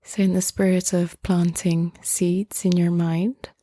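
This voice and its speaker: A woman leads a guided meditation in a mellow, soft-edged voice that stays very even in pitch. She speaks gently and very slowly, leaving long pauses between phrases. The sound is close and dry. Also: dry recording. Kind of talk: guided meditation, slow and soothing.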